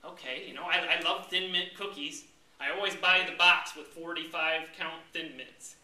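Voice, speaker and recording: A man's voice: on the high side, steady tone, moderate pace, pushed and bright. An American man giving a humorous tall-tale speech in a theatrical, mock-indignant storytelling manner, acting out his lines. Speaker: club speaker. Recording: roomy, distant mic with background noise.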